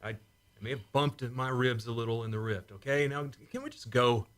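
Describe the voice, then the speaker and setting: A man's voice: mid-range voice, steady tone, brisk, a bit bright, lightly acted. A man playing a proud, stubborn space commander in a science fiction radio play, with an exasperated, emphatic delivery. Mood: irritated, defensive. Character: proud, stubborn male space commander, prickly with an old flame.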